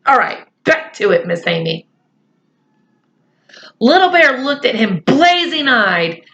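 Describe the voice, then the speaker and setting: A woman reading a children's book aloud, pausing for chatty asides to her listeners. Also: high-pitched, sing-song, fairly bright tone, highs cut off. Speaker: storyteller.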